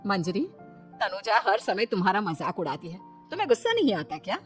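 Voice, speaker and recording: A woman's voice: medium pitch, big pitch swings, fairly quick, lightly acted. Woman acting bossy elderly mother-in-law, swooping intonation. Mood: motherly, shrewd. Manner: commanding, colloquial, calls out loudly. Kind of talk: animated Hindi family story dialogue. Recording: music behind the voice. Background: background music.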